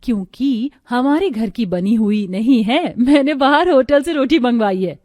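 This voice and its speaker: Young breezy cartoon bride, voiced by a woman, high pitch, work-shy temperament. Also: expressive, measured pace, warm tone, dry recording, studio quality, soft background music. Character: young lazy, breezy daughter turned anxious new bride.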